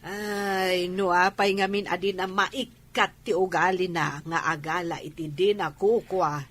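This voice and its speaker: A woman's voice: fairly low pitch, fairly even intonation, unhurried, a bit bright. A woman with an Ilocano accent acting an insistent, aggrieved village woman in a barangay comedy drama. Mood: dismayed.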